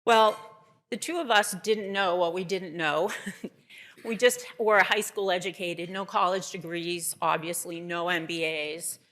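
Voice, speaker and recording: A woman's voice: fairly low pitch, fairly even intonation, unhurried, fairly bright tone. A middle-aged woman with a Boston accent, telling her story as a keynote speaker to an audience.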